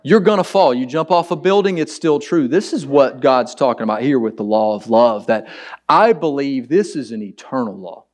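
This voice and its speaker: Hoarse pastor, raspy low voice, earnest and chatty sermon. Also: male voice, lively intonation, normal speed, darkish tone. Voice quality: raspy, deep. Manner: conversational, earnest, emphatic. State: hoarse from preaching late the night before.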